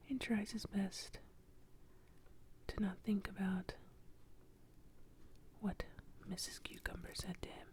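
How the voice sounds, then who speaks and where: A woman telling a bedtime story in a soft, unvoiced whisper. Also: medium pitch, a little hiss or hum.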